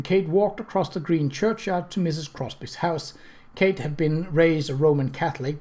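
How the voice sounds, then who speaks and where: A man narrates a period mystery novel in a voice pitched a little high. His phrasing is measured and storybook-like, as an audiobook reader's is.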